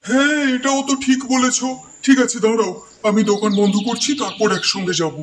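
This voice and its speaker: Man acting a very high-pitched puzzled village shopkeeper. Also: a little fast, background noise. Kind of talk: Bengali cartoon moral story dialogue. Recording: echoey voice over music bed.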